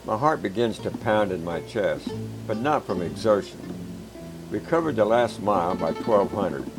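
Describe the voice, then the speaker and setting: A man with a very low voice tells a first-person story in a calm, measured, plain-spoken way. Music plays clearly underneath the narration. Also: rough recording. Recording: background music.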